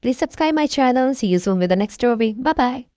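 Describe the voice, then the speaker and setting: A woman reading a bedtime story aloud for young children in a simple, deliberate storytelling manner. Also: a little high, animated, warm tone, no echo.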